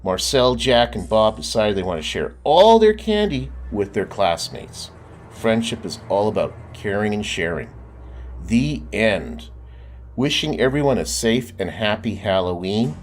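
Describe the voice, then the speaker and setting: A man reading a children's storybook aloud in a lively way, often stopping to ask the listener questions. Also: on the low side, a little slow, a little hiss or hum. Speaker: storybook reader. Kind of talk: children's book read-aloud.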